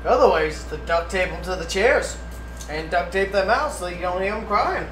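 A man's voice: sits high, expressive, normal speed, a bit bright. A man hosts a casual cooking stream, talking through each step and swearing jokily. He sounds roomy and a little distant from the mic, with faint noise around him. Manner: profane, jokey, talks through steps. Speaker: livestream cooking host. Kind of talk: casual cooking livestream commentary. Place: indoors in a home kitchen. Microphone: distant room mic.

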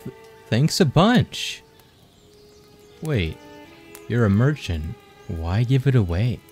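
Casting: male actor as earnest, stammering young would-be bandit for comic dub, slangy, slow delivery over music. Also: mid-range voice, big pitch swings, darkish tone. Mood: weary, sheepish. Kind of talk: manga comic dub acting. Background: music under the dialogue.

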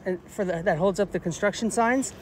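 A man's voice: high-pitched, a little fast. A man in his forties hosting a casual outdoor vlog, talking plainly and hesitantly into a handheld camera. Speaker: vlog host, magnet fishing father. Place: outdoors, bridge by a road and later outside at home. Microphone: handheld camera or phone. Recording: noisy outdoor traffic ambience.

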